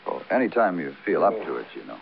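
Weary police detective, deep voice, poetic, muffled vintage radio recording. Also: male voice, sing-song, quick pace, creaky, a little hiss or hum, exaggerated voice. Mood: businesslike. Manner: terse in dialogue, lyrical in narration. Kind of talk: 1950s radio noir narration and dialogue.

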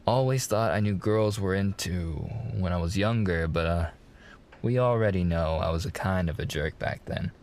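Man acting a low-voiced, earnest young mafia fiancé. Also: normal speed, a little mellow. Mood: tender, hesitant. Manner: slangy wiseguy talk, hesitant, earnest, reassuring. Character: smitten young mafia man, earnest, protective, gently courting his fiancée. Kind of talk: boyfriend ASMR roleplay acting.

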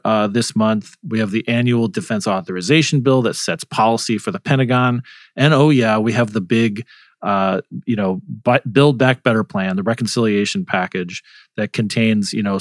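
Guy hosting a politics talk, lowish voice, kinda rambly questions, really dry close sound. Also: dry recording. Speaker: host. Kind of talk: conversational political news discussion.

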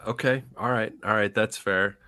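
A man's voice: lowish voice, normal speed, no room sound. A man in his thirties from Central Illinois with a matching accent, co-hosting a casual podcast and ranting bluntly with some swearing. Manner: blunt, swears, animated rants. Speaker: podcast co-host. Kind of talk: casual chat.

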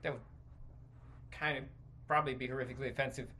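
A man hosting a podcast, giving a casual, rambling opinion rant with halting, stop-and-start phrasing and informal swearing. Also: mid-range voice, fairly even intonation, pushed and bright. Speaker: podcast host.

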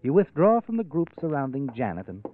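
A man's voice: on the high side, sing-song, a little fast, phone-quality sound. Brass-tinged music sits behind a sinister radio suspense narrator. He speaks with wide, dramatic inflection, knowing and taunting, on a thin old broadcast recording. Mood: sly, taunting. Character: sinister, knowing male narrator addressing the heroine.

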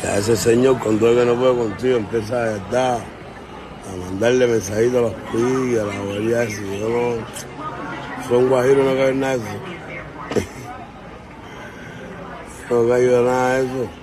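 A Cuban man riffing to his followers in a loose, joking rant, swearing freely and chuckling between lines. He's recorded outdoors on a city street, with a crowd of people clearly audible behind him. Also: mid-range voice, fairly even intonation, moderate pace, long pauses. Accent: Cuban Spanish. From Cuba. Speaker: vlogger. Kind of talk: casual rant to viewers.

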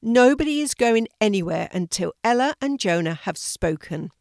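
A woman's voice: fairly high pitch, very animated, normal speed, no room sound, voice pushed up. A woman reads the part of an earnest male snake in a children's story. He addresses a gathering like a chairman, appealing for order. The recording is dry and close. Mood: exasperated.

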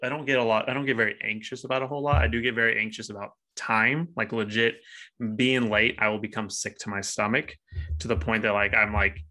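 A man in his thirties co-hosting a podcast, chatting casually, animated and quick to laugh. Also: on the low side. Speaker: podcast co-host. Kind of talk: casual chat.

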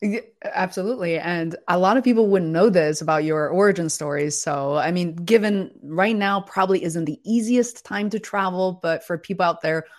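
A woman's voice: fairly low pitch, darkish tone. Eager woman host of a live chat. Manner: enthusiastic, halting with fillers. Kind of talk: live interview hosting.

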